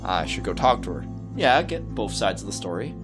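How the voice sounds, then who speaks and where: A man's voice: deep voice, big pitch swings, normal speed. A man hosting a let's play, reading a visual novel aloud and adding casual commentary, with music underneath.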